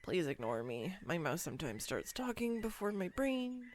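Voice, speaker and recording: A woman playing a clumsy, nervous, giddy young woman on a first date in a romantic audio roleplay, rambling awkwardly and self-deprecatingly with halting stumbles. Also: lowish voice, sing-song, a little hiss or hum. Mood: flustered, embarrassed. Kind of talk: scripted romantic audio roleplay addressed to the listener.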